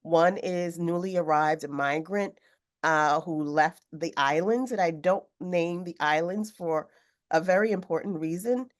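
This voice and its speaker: A woman author speaking on a video call, a little hoarse from a cold, talking thoughtfully with some fillers. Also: fairly low pitch, a little slow. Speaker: author guest. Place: home room with sewing machine. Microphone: video call webcam.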